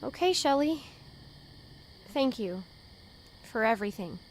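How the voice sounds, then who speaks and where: A woman's voice: fairly high pitch, animated, measured pace, slight background noise. A woman playing a naive, anxious young woman in a fan audio drama, hesitant, earnest and full of questions. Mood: subdued, hesitant.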